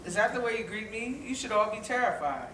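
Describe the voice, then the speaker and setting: A man's voice: high voice, lively intonation, brisk, far from the mic. Man playing a boastful shark bully, echoey hall. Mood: menacing, boastful. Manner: menacing, bragging, theatrical villain. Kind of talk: children's musical stage play acting. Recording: echoey, noisy hall recorded from afar.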